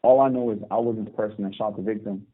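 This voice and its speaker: A man in his thirties from Barbados, calling in from prison and telling his story calmly, with hesitant restarts. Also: fairly low pitch, fast, breathy edge. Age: 30s. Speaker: guest. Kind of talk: phone interview. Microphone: phone call. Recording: tinny phone line.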